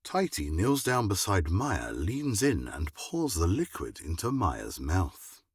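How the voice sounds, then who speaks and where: A man narrating an audio drama in a measured, dramatic storytelling style. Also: low-pitched, wildly swooping pitch, husky, dry recording.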